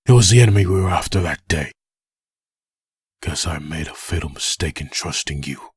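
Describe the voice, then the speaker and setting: A man playing a vengeful undead antihero in a comic dub, raspy, bitter and accusing. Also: sits low, animated, normal speed, no room sound, studio quality, full character voice. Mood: furious. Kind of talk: fan comic dub acting.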